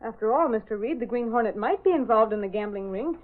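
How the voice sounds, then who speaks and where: An actress playing a brash, slangy, wisecracking young newspaper secretary in an old radio drama. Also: female voice, a little high, expressive, fast, narrow, tinny audio, close to an everyday voice. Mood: flustered, pleading. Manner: pert, slangy, needling. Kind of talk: 1930s-40s radio drama acting. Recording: band-limited old radio broadcast recording.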